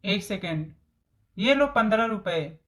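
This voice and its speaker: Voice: mid-range voice, lively intonation, a little slow, a bit bright. A voice actor playing a friendly, helpful young woman in a story cartoon, speaking politely. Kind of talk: Hindi moral story cartoon dialogue.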